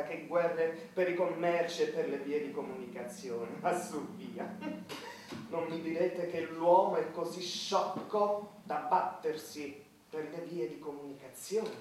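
A man's voice: on the high side, darkish tone. A man playing an unctuous, sermonizing churchman at a war council in a satirical radio play. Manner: preachy, sanctimonious. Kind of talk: satirical radio theatre acting. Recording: very echoey, distant, faint noise.

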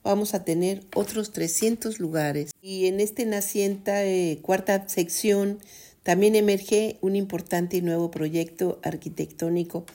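A woman presenting community radio news, reading an article aloud with a steady delivery and adding brief comments. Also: mid-range voice, unhurried.

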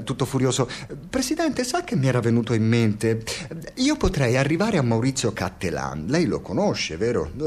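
A man's voice: a little high, a little mellow. Bitter, rambling Italian man. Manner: halting, digressive, swearing, swings from muttering to exclaiming. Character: bitter, rambling precarious Italian expatriate man, sardonic and neurotic. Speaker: actor in a radio play. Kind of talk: theatrical radio drama monologue.

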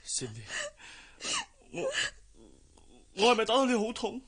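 A man with a Hong Kong Cantonese accent acting a heartbroken, possessive, hard-drinking gentleman in a radio drama, impassioned and volatile. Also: high-pitched, big pitch swings, measured pace, exaggerated voice. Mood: pleading. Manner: impassioned, swinging between pleading and outbursts.

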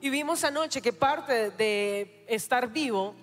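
An interpreter rendering a sermon line by line, following the preacher closely at a quick pace. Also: medium pitch. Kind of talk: live Spanish interpretation of a sermon. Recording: echoey hall with music.

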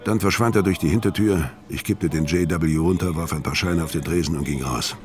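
An actor playing a world-weary career criminal, narrating a noir audio drama in a terse, deadpan way. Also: male voice, deep voice, fairly quick, lightly acted. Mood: wry, hard-boiled. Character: hard-boiled, world-weary male career criminal narrating his story. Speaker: first-person narrator. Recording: music bed under voice.

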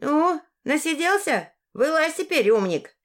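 A woman's voice: mid-range voice, very animated, normal speed. A woman voicing a grumpy, folksy old village crone in a fairy-tale audiobook, grumbling and snapping in rustic dialect. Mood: bossy, mocking.

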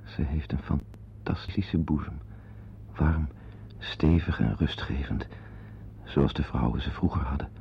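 A man's voice: unhurried, gravelly, long pauses, breathy edge, full character voice. An actor playing a needy, flustered man in a radio play, with a hesitant, confiding manner. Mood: confiding, savouring. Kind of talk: radio drama acting with asides to the listener. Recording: dull old radio recording with rumbling noise.

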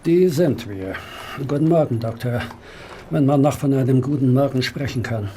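An actor playing a brusque, commanding Victorian police inspector in a radio play, curt and authoritative. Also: male voice, medium pitch, normal speed, dark tone, slight background noise, natural voice. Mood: businesslike, grim. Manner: curt, authoritative, hesitates between questions. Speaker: actor in an audio drama. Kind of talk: German radio play acting.

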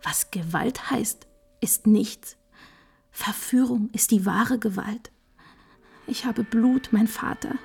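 A devout young daughter in an old radio tragedy. Her voice is husky and mellow, with a creaky edge. She pleads with theatrical fervour, resolved and passionate. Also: mid-range voice, heavily acted. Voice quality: breathy, husky. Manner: slow, fervent, theatrical whispering and pleading. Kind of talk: mid-century German radio play acting, classical tragedy.

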